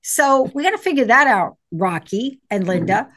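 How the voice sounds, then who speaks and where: A middle-aged woman, a psychologist, co-hosting a call-in show in a playful, bubbly way with rapid bursts of talk. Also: fairly high pitch, big pitch swings, a bit bright. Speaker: co-host, psychologist. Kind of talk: call-in radio show chat.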